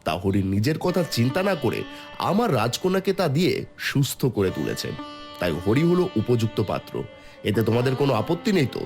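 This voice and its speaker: A man's voice: medium pitch, sing-song, fairly quick, music in the background. A man voicing an emphatic, fair-minded fairy-tale king in a dramatic cartoon dub. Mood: deliberate, gracious. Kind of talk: dramatic Bengali cartoon dubbing.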